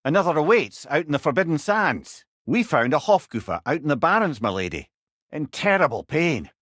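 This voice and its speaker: An actor playing a wise, wry old Scottish storyteller and companion in a video game, speaking eloquently with a lilt. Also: male voice, on the high side, big pitch swings, fairly quick, fairly bright tone, no room sound, clean studio sound. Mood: earnest, informative. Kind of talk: video game character dialogue.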